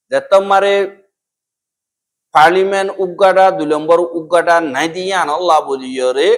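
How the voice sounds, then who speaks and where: A man's voice: on the high side, fairly bright tone. A man with a Rohingya accent presenting the news, reading from a prepared script.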